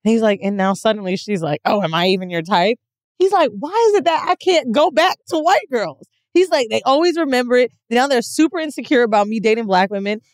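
A woman hosting a podcast in casual chat, blunt and animated, laughing easily. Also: a little high, brisk, dry recording, studio quality.

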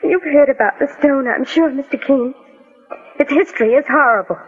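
An actress playing an anxious, bereaved young American daughter in a 1940s radio drama, polite and earnestly pleading. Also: female voice, high-pitched, moderate pace, lightly acted. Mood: grieving, distressed. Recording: tinny, reverberant old radio recording with music.

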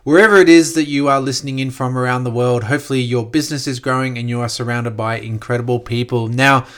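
Aussie guy doing his podcast, a bit croaky, upbeat coachy vibe. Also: medium pitch, steady tone, fast talker. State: slightly croaky voice. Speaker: podcast host. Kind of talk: solo podcast monologue.

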